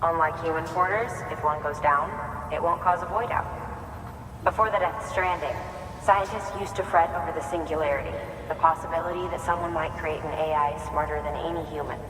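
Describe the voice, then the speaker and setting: A man's voice: fairly high pitch, steady tone, a little fast, lightly acted. An actor playing a polite male engineer and new father who explains his delivery bots at length, in a game cutscene. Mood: earnest. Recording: echoey hall with loud background noise.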